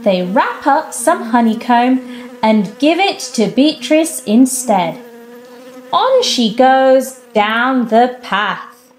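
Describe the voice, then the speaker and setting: Lady in her 30s reading a kids' picture book out loud, slow and sing-songy, kinda high voice. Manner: gentle, expressive storytelling with raised-pitch character quotes. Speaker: storytime host. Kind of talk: children's picture book read-aloud. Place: home room with bookshelves, indoors.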